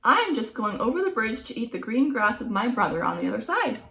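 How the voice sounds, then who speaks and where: A woman voicing a middle-sized male billy goat who is anxious but steady, in a slightly raised storybook voice. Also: on the high side, brisk, gravelly, reverberant, exaggerated voice. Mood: wary.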